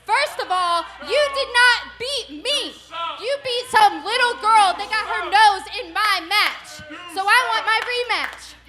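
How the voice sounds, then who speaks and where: Very high, bright, taunting woman wrestler. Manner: taunting, sneering. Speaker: professional wrestler. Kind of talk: wrestling promo.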